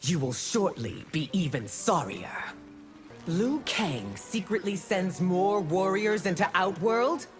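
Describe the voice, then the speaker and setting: Slow, stern, lowish-voiced empress. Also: female voice, lively intonation, soft background music. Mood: stern, threatening. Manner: regal, stern, measured. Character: stern imperious empress, a protective mother. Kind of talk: video game acting.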